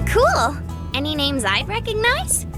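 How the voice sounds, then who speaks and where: An actress playing a cheerful, naive, excitable young woman in a visual novel, speaking in an eager, earnest way. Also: sits high, unhurried, music in the background, heavily acted. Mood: excited. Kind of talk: visual novel game voice acting.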